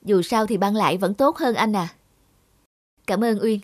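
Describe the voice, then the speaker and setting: A woman's voice: mid-range voice, normal speed, close to an everyday voice. A woman voicing a caring, naive young nurse in a cartoon, earnest and polite. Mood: scolding, concerned. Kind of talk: animated cartoon dialogue.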